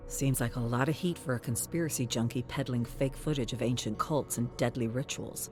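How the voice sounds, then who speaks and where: Man narrating a story over soft music. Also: medium pitch, brisk.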